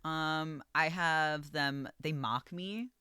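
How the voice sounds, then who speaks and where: A man who manages a coffee shop, talking casually and calmly, with a voice that projects and lots of "like" and "um.". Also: on the high side, slow pace, smooth, dry recording, clean studio sound. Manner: calm, easygoing, filler-heavy with lots of "like" and "um". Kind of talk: casual podcast chat.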